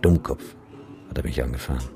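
An actor playing a cynical, bleak photographer and disaster witness in a radio drama, sardonic and matter-of-fact. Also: male voice, extremely low pitch, fairly quick, creaky, music in the background. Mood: grim, matter-of-fact. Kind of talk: radio drama monologue and dialogue.

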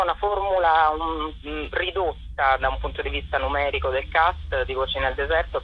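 Radio interview guest, female. Husky, expressive and reflective, heard over a muffled phone-like line. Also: sits low, no echo. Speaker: theatre collective member, interview guest. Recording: dull telephone-like radio line.

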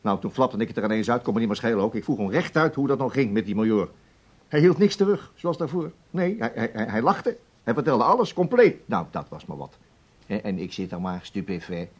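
Husky, flustered, stammering businessman. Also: lowish voice, a little fast. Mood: agitated, bewildered. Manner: stammering, repetitive, excitable. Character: flustered, bewildered businessman recounting strange events. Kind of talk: Dutch radio play acting. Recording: dull radio recording, music behind.

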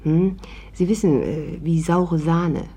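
An actress in a 1950s German radio drama playing a witty, reluctant crime heroine, speaking in a wry, teasing way. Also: female voice, lowish voice, slow, crowd noise. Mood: confiding narration.

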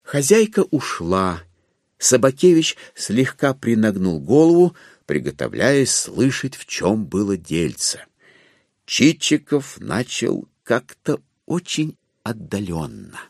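A man narrating a dramatized classic satirical novel as a wry storyteller, with expressive, deliberate phrasing. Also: fairly low pitch, no room sound, studio quality, heavily acted. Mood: wry, observant.

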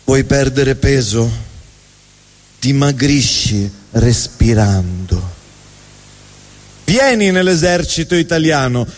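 A man playing a slick, absurdly earnest television advert announcer, delivering parody slogans on stage. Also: high-pitched. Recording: reverberant hall, live stage.

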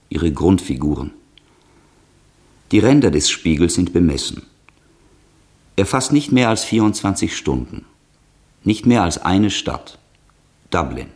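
A man narrating a 1960s German radio drama introduction in a formal, solemn, measured, declamatory way. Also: deep voice, darkish tone. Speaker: announcer and narrator.

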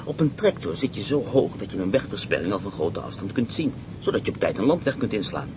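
A man's voice: on the low side, very animated, fast talker, noisy background. An actor playing a confident, scheming male prisoner of war on the run who talks in a persuasive, mocking way, in a radio play.

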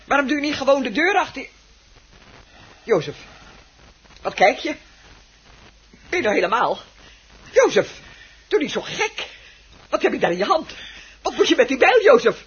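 An actress playing a frightened wife in a radio drama, calling out shrill, urgent short commands to her husband. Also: sing-song, normal speed, long pauses, husky, full character voice. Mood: alarmed scolding. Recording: dull, noisy old radio recording.

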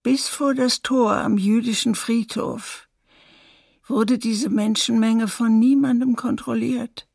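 An actress playing a female survivor who gives sober testimony in a husky, creaky voice for a documentary radio drama. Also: on the high side, steady tone, slow pace, a little mellow, no echo, studio quality, heavily acted. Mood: sober, haunted.

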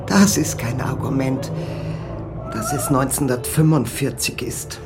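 A woman's voice: a bass voice, measured pace. An actress playing a stubborn, ailing old mother in a radio drama. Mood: weary, grumbling. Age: older. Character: stubborn old mother in hospital, proud socialist. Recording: music behind the voice.

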